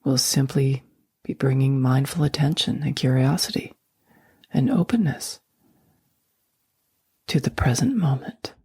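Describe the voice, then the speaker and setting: A woman guiding a sleep meditation, speaking slowly and softly, often in a near whisper. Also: very deep voice, lively intonation, breathy edge, no room sound. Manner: slow, soft and soothing, often whispered. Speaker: meditation guide.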